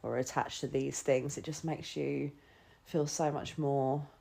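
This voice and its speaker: A woman's voice: a bass voice, little pitch movement, a little slow, vocal fry, mellow, slightly rough. A woman hosting a podcast, chatting enthusiastically and casually with plenty of filler words. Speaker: podcast host.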